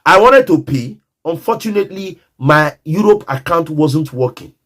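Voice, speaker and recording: A man's voice: on the high side, expressive, normal speed, fairly bright tone. A Nigerian man telling a personal story in a casual monologue, emphatic and repetitive, often speaking straight to the listener.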